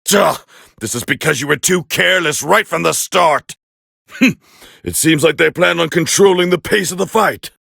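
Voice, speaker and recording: A proud warrior prince from an anime fighting game, growling with heavy gravel in his throat. He sounds forceful and condescending, commenting on battle in clipped, scornful phrases. The voice sits fairly high for a man and is recorded close and dry. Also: moderate pace, dry recording, full character voice. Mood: haughty, scornful.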